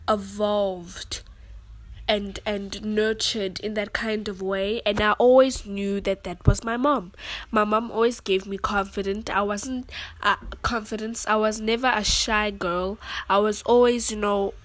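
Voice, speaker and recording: A woman's voice: medium pitch, fairly bright tone, no echo. A young woman from South Africa telling her personal story to the camera in an earnest, rambling way, often checking in with her listener. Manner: earnest, rambling, with frequent "you know what I'm saying" asides. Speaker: vlogger.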